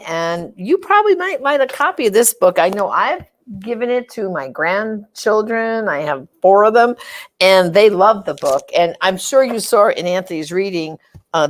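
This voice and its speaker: An older woman hosting, talking casually to her audience about a recommendation in a halting, conversational way. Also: mid-range voice. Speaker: host. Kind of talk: casual promotional talk to audience.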